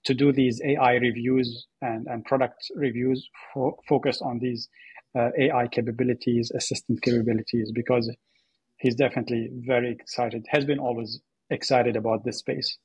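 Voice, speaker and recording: A man from Syria with a very husky, breathy voice talks as an interview guest. He tells his story conversationally and haltingly, repeating words as he goes. The sound is close and dry. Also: mid-range voice, level tone, a little mellow, no echo, dull audio. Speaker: podcast guest, AI startup co-founder.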